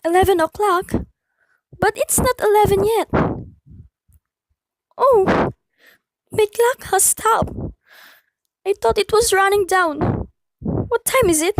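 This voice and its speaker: A woman's voice: very high voice, slow pace, long pauses, dry recording, exaggerated voice, poor audio. A woman playing an anxious, demanding invalid wife in a radio play, fretful and insistent. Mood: uneasy, alarmed.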